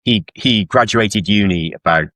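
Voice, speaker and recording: A man's voice: on the low side, unhurried, sharp tone, no echo. A middle-aged man from South Wales talking casually and informally as an interview guest, with a self-deprecating manner. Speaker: guest author. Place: indoors at home on a video call.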